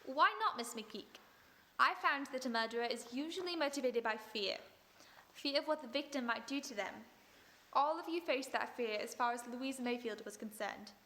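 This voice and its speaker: Woman as a cool lady detective, high bright voice, measured and commanding, faint music. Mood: composed. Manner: measured and authoritative. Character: calm, commanding female detective. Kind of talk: 1940s radio acting.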